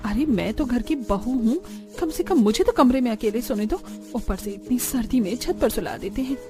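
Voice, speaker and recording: A woman's voice: fairly high pitch, moderate pace, over music, natural voice. A woman voicing a young, overworked village daughter-in-law in a cartoon, grumbling and exasperated. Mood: irritated. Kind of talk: Hindi cartoon story dialogue.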